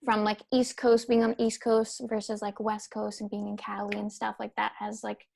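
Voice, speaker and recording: A young woman interviewing a band for college radio, eager and affirming with quick replies. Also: medium pitch, completely flat delivery, creaky, a little mellow. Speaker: radio hip-hop director, interviewer. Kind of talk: casual interview.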